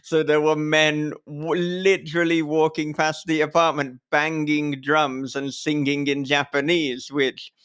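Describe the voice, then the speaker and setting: A man hosting a casual interview podcast, speaking in halting, thoughtful phrases as he talks with a guest. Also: on the high side, flat delivery, a bit bright, smooth.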